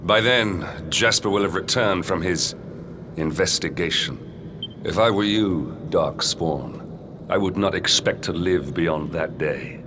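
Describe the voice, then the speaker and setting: A slow, menacing royal commander. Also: male voice, sits low, noisy background. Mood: cold threat. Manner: imperious, deliberate, threatening. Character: stern, menacing royal military commander, grown man. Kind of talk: dramatic video game cutscene acting. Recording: music under the voice.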